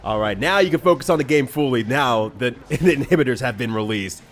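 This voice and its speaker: Laughing young man, lively game commentary. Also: mid-range voice, fairly quick. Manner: laughs often, reacts with loud exclamations. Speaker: let's player commentator. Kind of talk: excited game commentary chat. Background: game music.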